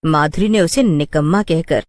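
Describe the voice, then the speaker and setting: Low-voiced woman narrating a story. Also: moderate pace, darkish tone, dry recording, studio quality.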